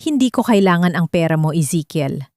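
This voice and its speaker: A woman's voice: lowish voice, expressive, brisk, a little mellow, no echo, studio quality, natural voice. A woman voicing a scheming, vindictive wife who poses as a wronged mother in an audio drama, bitter and accusing. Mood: bitter defiance.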